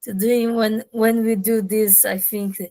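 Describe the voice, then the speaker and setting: A woman speaking non-native English as an interviewer, haltingly searching for words in a casual conversation. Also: mid-range voice, flat delivery, dark tone, dry recording. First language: not English.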